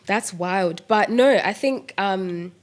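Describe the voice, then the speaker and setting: A young woman musician chatting in an interview about making her music, lively and laughing, with lots of "like" and "um". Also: medium pitch, slow. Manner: animated, laughing, fillers like "like" and "um". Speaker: musician and producer guest. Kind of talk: casual interview chat.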